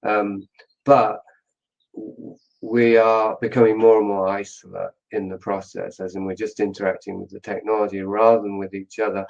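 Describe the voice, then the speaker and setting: A man's voice: on the low side, level tone, normal speed, gravelly, a little mellow, lo-fi sound. A man giving a reflective explanation in a discussion, pensive and often searching for the right words. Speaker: interview guest, author.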